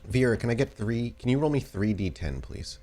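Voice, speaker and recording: A man's voice: mid-range voice, expressive, brisk, dark tone. A man narrating a tabletop roleplay game as game master, descriptive and rephrasing as he goes.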